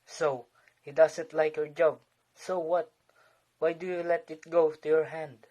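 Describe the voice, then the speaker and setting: A man acting a calm, supportive young husband in an amateur stage play. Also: a little high, a little slow, lo-fi sound. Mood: frank.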